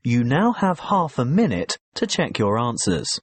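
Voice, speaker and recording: A man with a mid-pitched, husky voice narrates listening test instructions. His delivery is formal and measured, yet the intonation moves very widely. The recording is dry. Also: huge pitch swings, dry recording. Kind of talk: scripted exam instructions.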